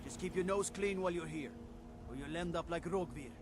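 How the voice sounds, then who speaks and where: An actor playing a curt city guard in a fantasy game, full of warning. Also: male voice, a little high, a little fast, natural voice. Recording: noisy game ambience.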